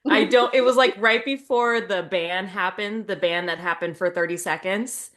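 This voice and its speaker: A woman's voice: medium pitch, moderate pace, fairly bright tone. A woman co-hosting a podcast, chatting casually in a rambling, conversational way. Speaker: podcast co-host. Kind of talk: casual chat.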